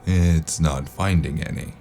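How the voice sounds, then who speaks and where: Very deep gravelly noir detective. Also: male voice, unhurried, a little hiss or hum. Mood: sardonic. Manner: dry, deadpan. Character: weary, sardonic noir investigator, male, gruff stranger. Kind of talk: noir audio drama acting and first-person narration.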